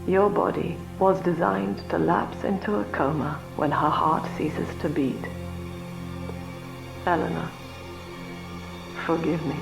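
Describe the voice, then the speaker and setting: An actress playing a cold, cerebral middle-aged cult leader and mother in a video game, speaking in measured, solemn, precise oratory. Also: low voice, fairly even intonation, gravelly, music in the background, exaggerated voice. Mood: bitter. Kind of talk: dramatic video game monologue.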